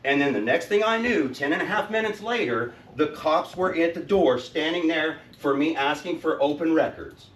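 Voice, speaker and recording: Loud, insistent man in an echoey hall. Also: a little high, lively intonation, moderate pace, few pauses. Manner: loud and insistent, says he is trying to speak softly. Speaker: citizen questioning the school board. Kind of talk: public meeting remarks. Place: school district office lobby at a public meeting. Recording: roomy echo, distant mic, faint background noise.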